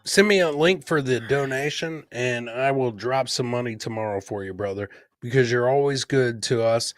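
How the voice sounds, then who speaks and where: A man's voice: medium pitch, normal speed. A man hosting a casual livestream, blunt and emphatic, repeating himself and chummily dropping "man" and "brother". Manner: blunt, profane, emphatic and repetitive. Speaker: podcast host.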